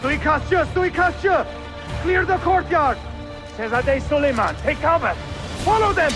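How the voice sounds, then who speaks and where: An actor playing a loud, alarmed Ottoman palace guard in a video game. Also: very high voice, expressive, unhurried. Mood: alarmed shouting. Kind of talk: dramatic video game acting. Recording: background music under the voice.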